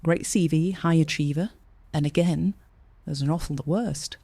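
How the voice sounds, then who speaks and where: An actress playing a sharp-tongued, teasing woman investigator in an audio drama, with a sarcastic, sweary manner. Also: low-pitched, normal speed, breathy edge, no room sound. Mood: teasing, businesslike. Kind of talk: naturalistic audio drama acting.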